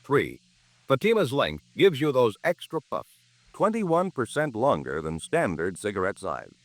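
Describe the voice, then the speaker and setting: A man reads a vintage radio advertisement as a polished announcer. His pitch is mid-range but swoops dramatically as he stresses each selling point, with very little room sound. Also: wildly swooping pitch, a little slow, no room sound. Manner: polished, emphatic salesmanship. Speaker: commercial announcer. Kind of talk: 1950s radio advertising read.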